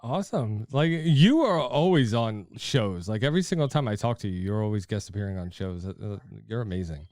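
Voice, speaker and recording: A man's voice: fairly low pitch, expressive, a little fast, darkish tone, no room sound. Casting: male, middle-aged podcast host, clean resonant voice, dry close sound, friendly and giggly in casual conversation. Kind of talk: casual phone chat.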